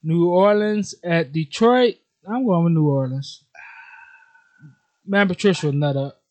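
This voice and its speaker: A man's voice: on the high side, a little slow, darkish tone. An American man co-hosting a casual sports podcast, talking football in an informal, slangy, teasing way.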